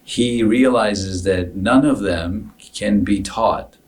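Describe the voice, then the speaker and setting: A man's voice: fairly low pitch, slow. A man chatting reflectively on a podcast, telling anecdotes in an earnest way and acting out bits of dialogue. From Africa, later moved to Canada. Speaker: podcast co-host, business founder. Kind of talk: casual reflective podcast conversation. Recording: distant microphone.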